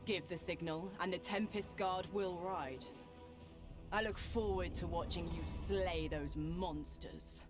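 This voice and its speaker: A woman's voice: fairly low pitch, slow pace. An actress playing a proud, zealous, merciless female knight commander in a video game. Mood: proud, formal. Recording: loud music underneath. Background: game music.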